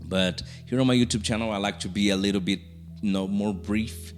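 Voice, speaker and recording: A man's voice: on the low side, fairly even intonation. A young man from Brazil with a Brazilian Portuguese accent, speaking English as a second language. He talks casually to camera and often restarts his sentences. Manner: halting, many restarts and fillers. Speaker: YouTuber. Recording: soft music underneath.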